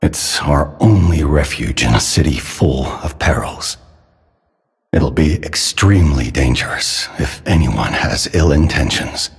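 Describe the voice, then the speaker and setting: An actor playing a doting, sorrowful elderly inventor father in a video game, speaking solemnly with a husky, breathy voice. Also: extremely low pitch, lively intonation, exaggerated voice. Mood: cautionary, tender. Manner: slow, measured, solemn. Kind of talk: dramatic game character dialogue. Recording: long reverberant hall echo.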